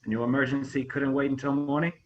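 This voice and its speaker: Man acting a brisk, suspicious male cop. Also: medium pitch, little pitch movement, dull audio, lightly acted. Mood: politely suspicious. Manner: official, probing, polite. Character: suspicious male highway cop in his thirties. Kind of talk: screenplay table read.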